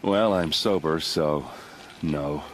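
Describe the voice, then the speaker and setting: Gruff, deep-voiced, world-weary warrior. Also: male voice, takes it slowly, slight background noise. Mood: wry. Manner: wry, self-deprecating, blunt. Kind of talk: dramatic video game acting. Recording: game music behind the voice.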